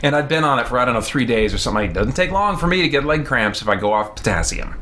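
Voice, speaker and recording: A man's voice: mid-range voice, expressive, fast, sharp tone. Chatty man streaming over noisy background. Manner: rambling, self-amused, laughs often. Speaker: streamer, host. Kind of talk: casual livestream chat.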